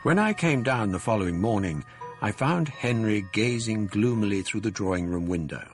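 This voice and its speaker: A man narrating his own past in a measured, storytelling way, as in a radio drama. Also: on the low side, expressive, faint music behind. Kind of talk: scripted first-person narration in a radio drama.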